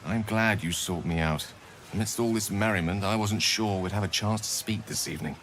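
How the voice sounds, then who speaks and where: A man's voice: fairly low pitch, brisk, fairly bright tone, slight background noise, close to an everyday voice. A man voicing a courteous, bookish wizard in a fantasy game, musing in a wry, florid way. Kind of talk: video game dramatic acting.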